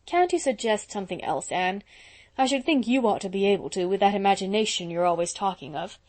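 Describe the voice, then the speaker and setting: A woman doing a brisk, practical older woman. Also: medium pitch, expressive, darkish tone, lightly acted. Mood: matter-of-fact.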